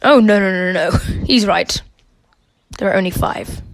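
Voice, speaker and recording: A woman's voice: medium pitch, unhurried, natural voice. A young woman playing a blunt, matter-of-fact male detective in an amateur radio murder mystery. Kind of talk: amateur radio play acting.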